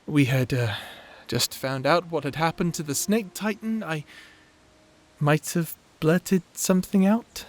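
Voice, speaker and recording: A man's voice: a little high, unhurried, close to an everyday voice. A man playing a shy, flustered young man in love, stammering and hesitant in a dramatic fantasy audio roleplay. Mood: nervous, confessing.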